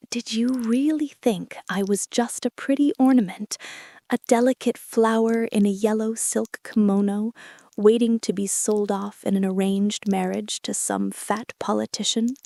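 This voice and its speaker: Woman playing a slow, seductive, commanding crime heiress. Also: mid-range voice, dark tone, no room sound, natural voice. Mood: cold, triumphant. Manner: slow, deliberate, intimate, often dropping into whispers. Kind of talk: ASMR roleplay, scripted dramatic monologue.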